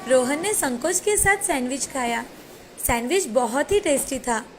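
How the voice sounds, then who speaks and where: A woman's voice: high voice, expressive, moderate pace, slight background noise. A woman storyteller reading a scripted moral tale as narrator. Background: faint background music.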